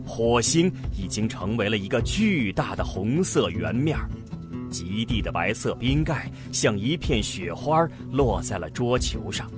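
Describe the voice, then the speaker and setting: A male narrator tells a science fiction radio drama with vivid imagery. His pitch is lively, and clear music plays under his voice. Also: a little slow. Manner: vivid, descriptive. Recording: music behind.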